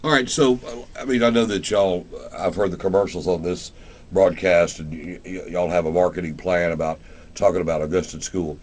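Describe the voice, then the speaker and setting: Low-pitched radio host, folksy man. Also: steady tone, normal speed, a little mellow. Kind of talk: casual live radio interview.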